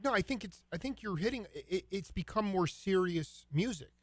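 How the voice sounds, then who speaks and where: A man with a slightly high voice hosts an interview, asking long, loose questions and dropping casual jazz slang. He talks in a relaxed, rambling way. The sound is dry and close, with no room echo. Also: a little fast. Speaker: radio show host.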